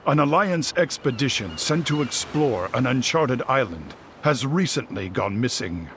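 A man narrating a heroic fantasy game in a solemn, grand, measured scripted style. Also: medium pitch, big pitch swings, a bit bright, slight background noise.

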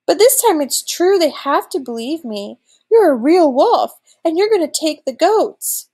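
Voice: very high-pitched, big pitch swings, unhurried, a little mellow, voice pushed up, exaggerated voice. A woman voicing a mischievous young boy goatherd in a storybook. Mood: pleading.

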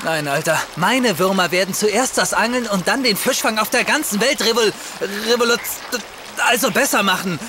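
Guy acting a cocky reckless fishing buddy in a radio play, high voice, slangy and brash, noisy ambience behind. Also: normal speed, lightly acted. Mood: irritated boasting. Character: reckless, boastful male institute employee and amateur angler. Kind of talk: German audio drama acting. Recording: clear outdoor ambience noise behind.

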